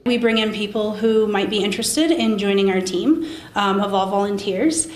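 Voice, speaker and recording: A woman speaking hesitantly in a news interview as a rescue team spokesperson. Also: normal speed. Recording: echoey room with low rumble.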